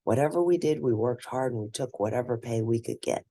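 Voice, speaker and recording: A woman reading a scripted first-person story aloud, expressive and deliberate, with a husky, gravelly voice. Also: rumbling low, no room sound. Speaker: read-aloud narrator.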